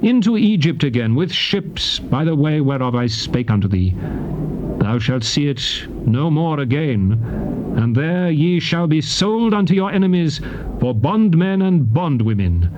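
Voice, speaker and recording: A grave prophet warning his people, formal bible drama, measured delivery, noisy old cassette. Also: a little high, very animated, darkish tone. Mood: ominous. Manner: grave, declamatory, measured oratory. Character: Moses, solemn male prophet warning his people of curses. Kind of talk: dramatized scripture reading, formal archaic English.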